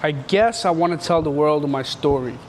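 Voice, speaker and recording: A man's voice: fairly high pitch, moderate pace, a little hiss or hum. A young man from Canada, casually telling his life story in an interview, rambling and slangy with lots of filler words.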